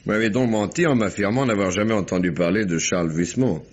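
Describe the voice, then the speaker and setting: A man's voice: on the low side, quick pace, lightly acted. A man playing a shrewd, unflappable police commissioner in a mid-century French radio crime drama, speaking in a dry, terse, colloquial way. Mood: cool interrogation. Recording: dull, muffled old radio recording.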